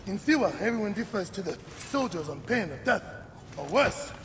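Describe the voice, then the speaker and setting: A hearty, loyal villager in a game cinematic. His voice is fairly high and gravelly, swinging expressively as he speaks. There is clear ambient noise behind him. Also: moderate pace, heavily acted. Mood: troubled. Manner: expressive, familiar. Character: loyal Egyptian villager, hearty old friend of the hero. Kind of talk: cinematic video game acting.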